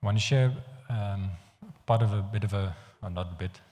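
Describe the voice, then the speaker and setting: Deep-voiced Dutch man preaching in a hall. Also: dark tone. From Netherlands. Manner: earnest, halting with restarts. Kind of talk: sermon. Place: church hall. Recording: long hall reverb.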